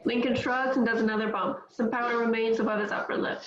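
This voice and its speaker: Woman narrating a script table read; slightly high voice, roomy sound. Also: fairly even intonation, fast, highs cut off, crowd noise. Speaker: narrator reading stage directions.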